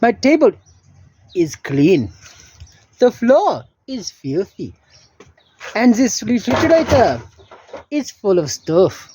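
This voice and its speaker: A showy, sing-song mock Indian accent, as a man puts it on for fun. The pitch sits high and swoops around. Each short line is declaimed with mock grandeur. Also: very animated, takes it slowly, long pauses, dark tone, a little hiss or hum, exaggerated voice. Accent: put-on Indian, said to be imperfect.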